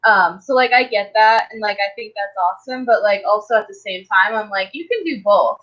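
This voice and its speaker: A woman's voice: mid-range voice, fairly quick. A woman in a casual panel discussion, explaining her points a bit tentatively over dull, lo-fi call audio. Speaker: talk show panelist.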